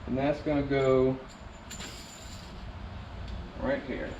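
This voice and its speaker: A man narrating a DIY vehicle install in a relaxed, casual way, talking to himself as he works. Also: medium pitch, drawn-out words, noisy background. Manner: laid-back, talks to himself while working. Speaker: DIY vlogger. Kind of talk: casual how-to narration while working. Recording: roomy, distant, dull camera audio.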